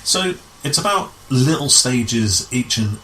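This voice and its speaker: A man's voice: medium pitch, lively intonation, slow pace, pushed and bright, slight background noise, poor audio. A man speaking as an interview guest, explaining things in casual conversation. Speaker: guest, amateur pantomime director.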